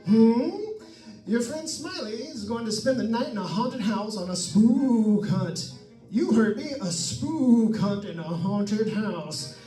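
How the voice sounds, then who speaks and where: A man's voice: high voice, lively intonation, slow, far from the mic. A man playing a jovial, chummy radio disc jockey in old-time radio drama style, with showy patter. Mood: gleeful, teasing. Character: Smiley Smith, excitable jovial male radio disc jockey. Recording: roomy stage pickup from afar, music behind.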